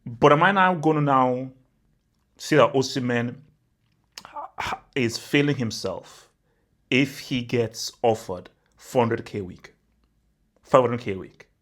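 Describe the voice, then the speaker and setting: A young man from Nigeria talks football on his channel in a loose, excited vlog style. His voice sits at an ordinary middle pitch. He keeps hammering his points with "bro" and piles up exaggerations. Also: slow pace, long pauses. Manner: animated and emphatic, repeats "bro", spirals into exaggeration. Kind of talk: casual vlog commentary.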